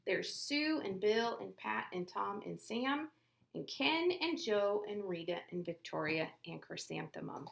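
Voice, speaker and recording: A female teacher reading a picture book aloud in an expressive storytelling manner. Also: mid-range voice, measured pace, vocal fry, echoey room. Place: classroom.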